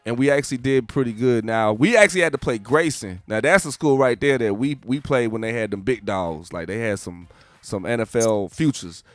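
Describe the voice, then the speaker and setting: A man's voice: medium pitch, fairly quick, dry recording. A man hosting a casual radio interview, talking in a laid-back, slangy way and often repeating his phrases. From Columbus, Ohio. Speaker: radio show host.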